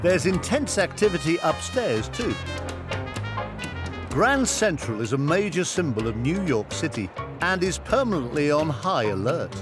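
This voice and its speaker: A man narrating a documentary in a measured, scripted voice-over. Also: fairly high pitch, sing-song, music in the background. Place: studio voice-over over music.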